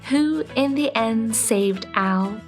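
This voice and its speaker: A woman's voice: fairly high pitch, takes it slowly. A woman narrating a scripted children's bedtime story as the storyteller. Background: soft music.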